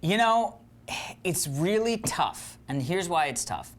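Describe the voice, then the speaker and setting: A man's voice: high voice, sing-song, slow pace. A man reviewing video games in an emphatic, conversational, slangy way on a panel show. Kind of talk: casual review chat.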